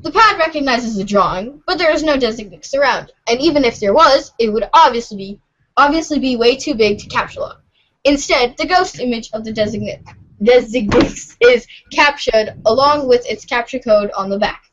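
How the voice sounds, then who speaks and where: High voiced woman reading a webcomic out loud for a fan podcast, kinda giggly n informal, muffled lofi audio. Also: expressive, normal speed. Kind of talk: animated read-aloud of webcomic narration. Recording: dull lo-fi voice recording.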